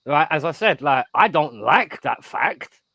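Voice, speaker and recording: A man in his thirties rants about football on an online voice call. His voice sits high for a man and sounds dry and close, with no room around it. He rambles on emphatically, checking in with "right?" again and again. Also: animated, unhurried, vocal fry, sharp tone, husky, dry recording. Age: 30s. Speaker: guest on a live stream. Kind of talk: casual football chat.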